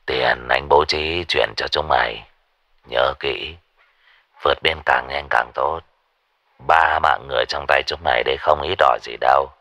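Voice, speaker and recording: A man's voice: fairly even intonation, moderate pace, pushed and bright, no room sound, exaggerated voice. A man voicing a gloating male gang boss giving orders over the phone. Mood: smug menace. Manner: smug, low and menacing.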